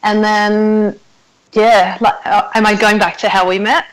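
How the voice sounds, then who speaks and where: A woman's voice: medium pitch, flat delivery, measured pace, a crowd in the background, rough recording. A young woman with an Australian accent, originally from South Africa, chatting casually as a podcast guest and laughing often. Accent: Australian with South African roots. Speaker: personal trainer, podcast guest. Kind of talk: casual interview chat. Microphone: remote call.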